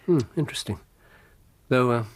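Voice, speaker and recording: An actor playing a brilliant, self-assured British detective with a husky voice and a dryly teasing, precise manner, in a radio drama. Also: male voice, mid-range voice, moderate pace, no echo, lightly acted. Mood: amused musing. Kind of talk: naturalistic radio drama dialogue.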